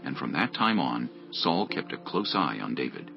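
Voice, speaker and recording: Very deep gravelly male scripture narrator. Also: sing-song, moderate pace, lo-fi sound, music in the background. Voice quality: gravelly, husky.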